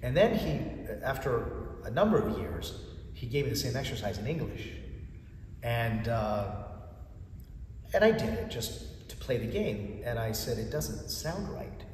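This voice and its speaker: Man in his fifties, Greek first language, church chanter, animated storyteller, far from mic, long echo. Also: mid-range voice, measured pace, a little hiss or hum. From United States. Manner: animated storyteller, acts out dialogue in a raised voice. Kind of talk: storytelling interview answers. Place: large church interior. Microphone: far camera.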